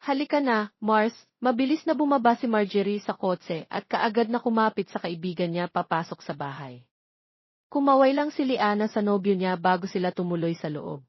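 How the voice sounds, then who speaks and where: A woman's voice: medium pitch, lively intonation, normal speed, breathy edge, dry recording. A woman narrating a scripted dramatic story as its storyteller. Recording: slightly dull, muffled audio.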